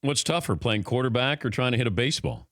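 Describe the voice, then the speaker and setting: A man hosting a sports talk show, asking quick, playful questions in a chatty way. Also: medium pitch, a bit bright, dry recording, clean studio sound. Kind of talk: casual radio interview.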